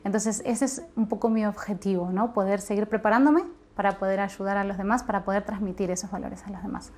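A young woman speaks in a soft, thoughtful way at a mid pitch. She hesitates often and tags her sentences with questions. It sounds like a seated indoor interview on a lapel mic. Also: fairly even intonation, a little fast. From Argentina. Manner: soft, reflective, with hesitant fillers and tag questions. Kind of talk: interview answers.

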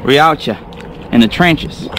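A man's voice: a little high, animated, unhurried, background noise. A young man vlogging from a kayak on an open lake, talking casually and hollering when fish bite, recorded on an action camera. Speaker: fishing vlogger. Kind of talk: casual on-the-water commentary.

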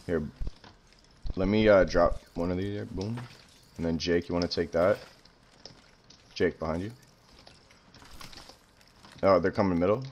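A man's voice: sits low, fairly even intonation, a little slow, long pauses, darkish tone, lo-fi sound, slight background noise. A man streaming a shooter game from home, chatting loosely with teammates, joking and swearing, talking into a headset mic. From Canada. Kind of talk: casual gaming chat with teammates. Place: small room at home.

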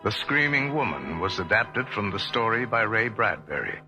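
A man's voice: fairly low pitch, sing-song, normal speed. A man working as an announcer, reading scripted radio credits. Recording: radio credits over loud music.

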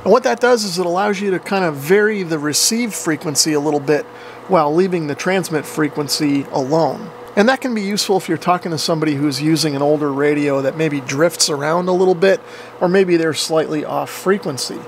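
Higher-voiced man explaining gear over fan hum. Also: brisk. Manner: explanatory, informal. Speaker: radio hobbyist demonstrating equipment. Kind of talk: casual tutorial narration. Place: indoor workshop with radio gear. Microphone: camera microphone at a short distance. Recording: noisy camera mic with fan hum. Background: cooling fan noise.

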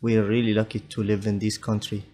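Arabic-speaking man, low flat voice. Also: fast. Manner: hesitant, many fillers and restarts. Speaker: podcast guest. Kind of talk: casual interview chat. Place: small home studio with sneaker shelves. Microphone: studio mic on boom arm, headphones.

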